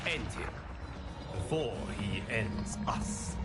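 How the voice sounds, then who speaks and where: An actor playing a calm, solemn male fire god who briefs the player in a game cutscene, speaking in measured, formal words with music behind. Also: fairly low pitch. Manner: measured, grave, formal diction. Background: game score music.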